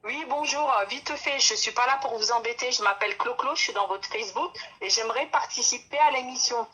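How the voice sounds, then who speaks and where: A woman's voice: fairly high pitch, brisk, pushed and bright, breathy edge, rough recording. A woman calling in to a live show, polite and effusive, rambling casually from one point to the next. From born in Gabon, raised in France. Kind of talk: casual, rambling phone-in comment.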